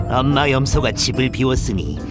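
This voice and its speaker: Gruff, slow, scheming big wolf. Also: female voice, a bass voice, heavily acted. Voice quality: gruff, gravelly. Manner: drawn-out, scheming delivery. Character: big sly greedy male wolf, putting on mother's voice. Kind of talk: children's fairy-tale acting. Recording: music behind the voice.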